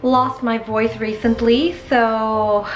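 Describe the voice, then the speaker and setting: A young woman from Indonesia with a hoarse voice, talking through a makeup tutorial step by step in a chatty, instructive voiceover. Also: fairly high pitch, fairly even intonation, takes it slowly, faint music behind, low-quality recording. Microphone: close voiceover mic.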